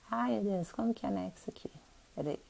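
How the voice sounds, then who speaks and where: A woman's voice: mid-range voice, moderate pace, gravelly, dark tone. A woman with a Brazilian Portuguese accent, nasal from rhinitis, hosting a casual live stream about interior design and chatting directly with her viewers.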